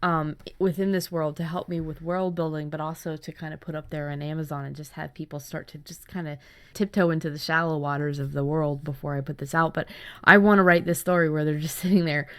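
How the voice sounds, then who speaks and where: A woman podcast host chatting casually about writing, telling things in an animated way with chuckles. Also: sits low, smooth, dry recording. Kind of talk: casual chat about story ideas.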